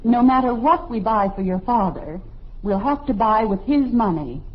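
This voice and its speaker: An American actress playing a firm, patient, middle-aged mother in an old radio family comedy, with a brisk, motherly manner. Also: fairly high pitch, animated. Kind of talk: 1950s American radio family sitcom acting. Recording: muffled, noisy old radio recording with audience.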